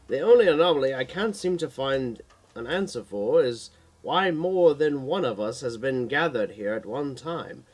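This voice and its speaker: Man acting an earnest, expressive armored guardian. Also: medium pitch, normal speed, close to an everyday voice. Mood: explaining. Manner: explanatory, urgent. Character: earnest knowledgeable male guardian hero in silver armor.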